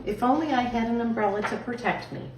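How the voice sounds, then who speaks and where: Woman voicing an anxious little girl chipmunk, high. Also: brisk, reverberant, distant mic, noisy background, natural voice. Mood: frightened. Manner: anxious storybook character talk. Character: small timid, worried female chipmunk.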